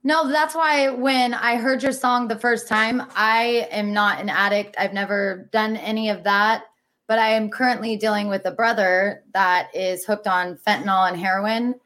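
A woman speaks as a podcast co-host. Her voice sits at an average pitch for a woman. Her delivery is casual and conversational. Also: fairly even intonation, moderate pace, fairly bright tone. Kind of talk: casual chat.

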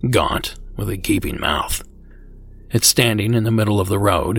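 Low gravelly male podcast host. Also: fairly bright tone. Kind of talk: scripted podcast narration.

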